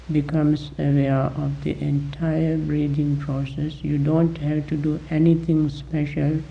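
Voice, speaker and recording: A man with a gravelly voice gives guided meditation instruction as a teacher, deliberately, in short phrases with long pauses, on a dull recording. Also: on the high side.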